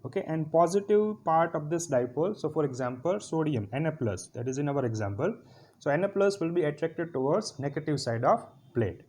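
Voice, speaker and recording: A man giving a step-by-step physiotherapy lecture over slides, often pausing to ask if it is clear. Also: fairly high pitch, animated, a little mellow.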